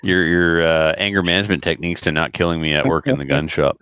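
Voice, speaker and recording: Man, very low pitch, clean resonant tone, casual podcast host, joking, dry sound. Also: fast talker, fairly bright tone, clean tone, no room sound.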